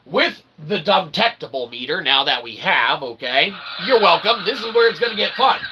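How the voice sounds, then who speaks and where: A man's voice: high voice, expressive, normal speed, background noise. A man giving a rambling explanatory monologue to his audience in wordy, pseudo-academic phrasing, often checking in with short tag questions. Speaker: self-published author and channel host.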